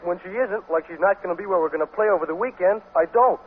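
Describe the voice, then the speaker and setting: Dim, high-voiced teenage jock. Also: male voice, fast talker, husky. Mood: lovesick. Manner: dim, plodding, ungrammatical. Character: slow-witted, earnest, lovesick teenage boy athlete. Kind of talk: 1950s radio sitcom acting. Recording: tinny, noisy 1950s radio recording.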